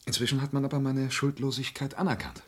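An actor playing an intense, lovesick murderer in a radio play, impassioned, moving between hushed and outraged delivery. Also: male voice, mid-range voice, quick pace, slightly rough, natural voice. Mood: subdued, tender. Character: intense, wounded, lovesick man who confesses to murders. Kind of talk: dramatic radio play acting.

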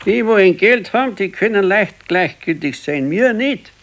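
An actor playing an elderly working-class husband with a Bavarian accent in a naturalistic radio play, curt, dry and grumbling. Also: male voice, sits high, animated, moderate pace, fairly bright tone. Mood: grumbling, defensive.

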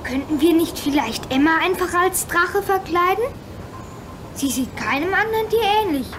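Man putting on an eager little boy, very high voice, lively swings, storybook adventure, noisy recording. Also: moderate pace. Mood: eager, suggesting. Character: eager young boy adventurer, small and bright. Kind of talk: storybook radio play acting.

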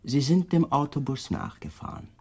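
A shrewd male detective who presses his questions calmly and politely and never lets go. His voice is slightly husky and moves freely up and down in pitch. It is a German radio play from the 1980s. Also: normal speed. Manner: calm, persistent, politely needling questions.

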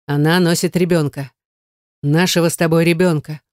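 A woman's voice: low-pitched, fairly even intonation, normal speed, dry recording, clean studio sound, close to an everyday voice. A woman narrator voicing a stern, commanding man in love with a serious, muted delivery in an audiobook.

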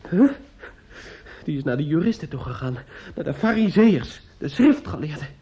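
A bitter, grieving nephew in a radio drama, with a slightly high, husky voice. He taunts in halting, insistent repetitions, sneering and menacing. The old recording sounds dull. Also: sing-song, warm tone, exaggerated voice. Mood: bitter mockery. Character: bitter, menacing, grief-stricken nephew taunting his aunt. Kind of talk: Dutch radio play acting.

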